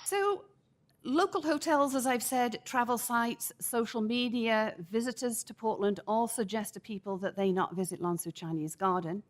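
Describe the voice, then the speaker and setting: Middle-aged woman testifying with a big, projecting voice. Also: fairly high pitch. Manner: measured, emphatic, deliberate. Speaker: public commenter at city council. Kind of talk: formal public testimony. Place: council chamber. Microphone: podium microphone.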